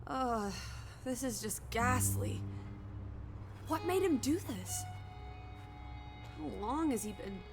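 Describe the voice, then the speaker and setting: A woman's voice: on the high side, animated, slow, pauses often, music in the background. An actress playing a bold, teasing young woman with a blunt, morbidly curious, old-fashioned way of talking in a video game. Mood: shocked, probing.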